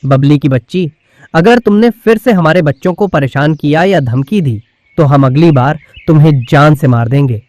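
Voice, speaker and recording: A man's voice: fairly high pitch, normal speed, darkish tone, no room sound, natural voice. A man voicing a firm male pigeon who leads the forest birds in a children's cartoon, speaking decisively as if giving instructions. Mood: threatening.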